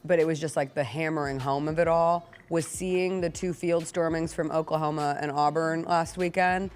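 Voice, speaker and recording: A woman's voice: deep voice, fairly even intonation, normal speed, faint music behind. A woman hosting a sports talk show, chatting casually and without a script.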